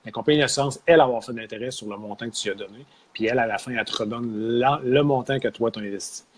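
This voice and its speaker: A man's voice: mid-range voice, racing, breathy edge. A man with a Québécois French accent, co-hosting a casual discussion, hesitant and searching for words.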